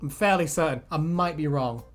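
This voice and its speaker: A woman's voice: deep voice, brisk, faint music behind. A woman chatting casually and jokily as a co-host, swearing freely and prone to giggling. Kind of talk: casual banter, reacting to fan comments.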